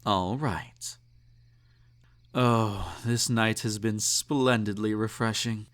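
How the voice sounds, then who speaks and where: Slow-talking, snobbish, possessive emperor, acted by a man. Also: on the low side, fairly even intonation, a bit bright. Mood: doting, controlling. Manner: pompous, condescending, teasing, ornate formal phrasing. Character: snobbish, possessive male emperor, indulgent and slightly tipsy. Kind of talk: intimate roleplay audio drama, second-person monologue.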